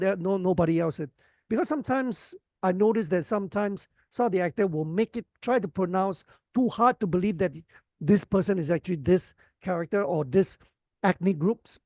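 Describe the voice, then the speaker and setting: High-voiced man, chatty podcast guest. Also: no room sound, studio quality. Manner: relaxed, rambling, frequent "you know what I mean", laughs often. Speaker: actor and action choreographer guest. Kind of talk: casual podcast interview.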